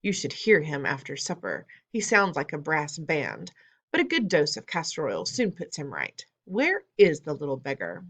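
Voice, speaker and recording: A woman reading aloud, voicing an indignant middle-aged father who blusters and shouts. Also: deep voice, wildly swooping pitch, moderate pace, heavily acted. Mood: pompous.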